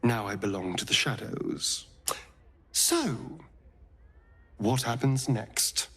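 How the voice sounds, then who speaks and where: An actor playing a suave vampire rogue in a video game, with an arch, drawling, theatrical manner that is teasing and tender. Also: male voice, mid-range voice, animated, slow, a bit bright, husky, full character voice. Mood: wistful, purring. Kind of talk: dramatic video game dialogue.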